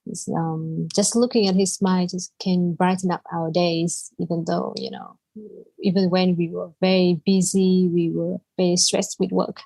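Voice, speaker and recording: A woman's voice: fairly low pitch, level tone, moderate pace, creaky, mellow, no echo, rough recording. A woman from Vietnam, a native Vietnamese speaker with a Vietnamese accent, telling her personal story as a podcast guest.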